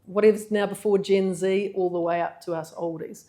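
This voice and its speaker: A woman's voice: mid-range voice, normal speed, slight background noise. Chatty middle-aged New woman. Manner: chatty, animated storyteller. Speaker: charity co-founder, guest. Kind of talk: casual interview storytelling.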